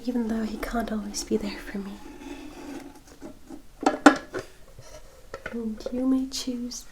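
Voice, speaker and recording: A woman playing a gentle, caring elder vampire sister of noble society in an ASMR roleplay, speaking softly, formally and soothingly straight to the listener. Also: on the high side, steady tone, heavy background noise, close to an everyday voice. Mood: gentle, generous. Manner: slow, soft, formal and soothing, addressing listener directly.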